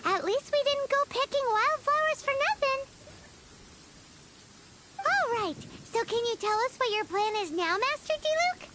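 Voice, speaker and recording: A woman voicing a tiny, excitable female fairy companion in a game cutscene, exclaiming and chattering eagerly. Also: very high-pitched, a little slow, heavy background noise, heavily acted. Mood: excited. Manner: excitable, exclaiming, refers to herself by name. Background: game music.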